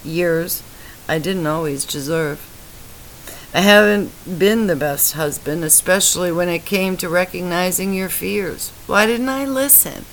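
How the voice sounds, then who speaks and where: A woman voicing a weak, dying husband who speaks softly and tenderly, his voice fading. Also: on the low side, measured pace, slight background noise, rough recording. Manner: soft, faltering, fading. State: weak and tired.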